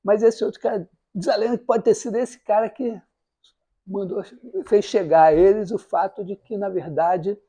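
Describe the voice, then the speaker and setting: Husky Brazilian university lecturer, sex unclear. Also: medium pitch, steady tone, normal speed, warm tone. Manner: rambling, digressive, self-correcting. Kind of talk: informal lecture with storytelling.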